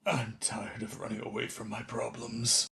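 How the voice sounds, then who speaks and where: A man playing a washed-up older children's TV entertainer in a radio play, contrite and theatrical, with a creaky voice. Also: lowish voice, completely flat delivery, pushed and bright. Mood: weary, confessional. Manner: dramatic, halting pleading and grand showman delivery.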